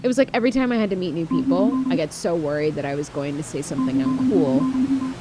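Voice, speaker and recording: A woman's voice: fairly low pitch, lively intonation, fast talker, close to an everyday voice. Lady voicing a shy nervous teen girl from a visual novel, self-conscious, music under it. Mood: anxious. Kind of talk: visual novel dialogue read aloud. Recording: music playing behind the voice.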